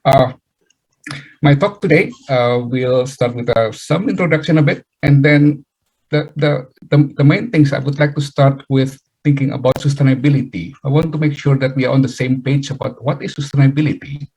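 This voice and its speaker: Husky Indonesian man lecturing over video call. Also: mid-range voice, steady tone, darkish tone, low-quality recording. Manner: halting, many fillers and restarts. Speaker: guest speaker, chemical engineering professor. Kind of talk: webinar lecture.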